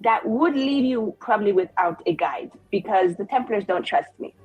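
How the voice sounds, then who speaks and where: A woman's voice: mid-range voice, expressive, normal speed, husky, no echo, highs cut off. A woman playing a tabletop roleplaying game, improvising in character in a playful, informal way. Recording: background music.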